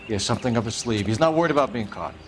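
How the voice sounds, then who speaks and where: An actor playing a calm, methodical detective in a video game cutscene, speaking in a level, steady way. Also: male voice, medium pitch, rapid-fire, slight background noise, close to an everyday voice.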